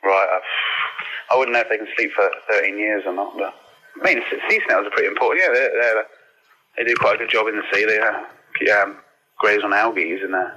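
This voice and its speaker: A man's voice: fairly low pitch, a little fast, bright, forceful tone, slightly rough. A man giving matter-of-fact, slightly bemused answers in a casual interview over the telephone. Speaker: phone-in guest, aquarium worker. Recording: noisy phone call on radio.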